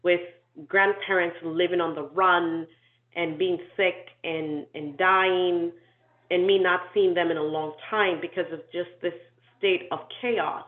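A woman's voice: lowish voice, fairly even intonation. Woman on a tinny, echoey call. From Nigeria. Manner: thoughtful, measured, with frequent ums and restarts. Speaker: inclusion and diversity consultant, guest. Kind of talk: reflective interview storytelling.

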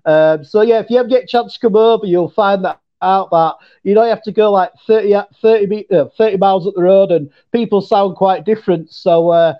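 High-voiced man with a Yorkshire accent. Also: brisk, hardly any pauses, lo-fi sound. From Leeds, northern England. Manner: hesitant, many fillers, says mate a lot. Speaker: guest. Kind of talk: casual chat.

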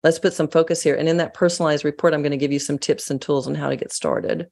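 A woman from the United States, a guest in an interview, telling stories in an earnest, animated way. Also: low voice, quick pace, gravelly. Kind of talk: casual interview talk.

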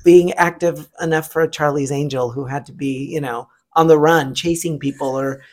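A middle-aged man co-hosting a podcast, chatting in an animated, gushing, campy way. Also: a little high, moderate pace. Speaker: podcast co-host. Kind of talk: casual chat.

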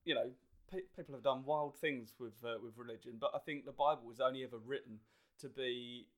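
Rambling Essex-accented podcast host. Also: male voice, mid-range voice, steady tone, a little fast. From Essex, England. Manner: rambling, self-deprecating, stumbles over words. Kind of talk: casual podcast chat.

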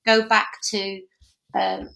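Chatty woman with a gravelly edge. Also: medium pitch, little pitch movement, slow pace, pushed and bright, slight background noise. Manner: chatty, laughs often, self-correcting. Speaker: actor and dancer guest. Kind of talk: conversational interview.

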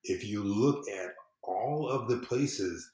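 Low-pitched American man in an echoey room. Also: slow, a little mellow. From southern United States. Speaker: podcast guest, civic empowerment consultant. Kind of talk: casual podcast conversation. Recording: roomy, echoey sound.

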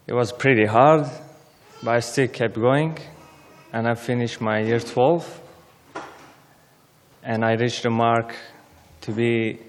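A young man from Syria, telling his personal story in halting as a guest speaker in a church. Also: mid-range voice. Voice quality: halting non-native English, simple phrasing. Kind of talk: personal testimony speech. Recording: long church reverb.

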